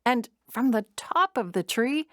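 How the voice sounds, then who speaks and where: A woman narrating a scripted children's story in an expressive, measured storytelling manner. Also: a little high, dry recording, clean studio sound.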